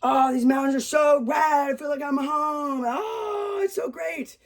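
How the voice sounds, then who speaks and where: A storyteller voicing an excited Basque sailor man who exclaims loudly, pitching the voice far higher. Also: a little slow. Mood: thrilled. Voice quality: loud, exclamatory.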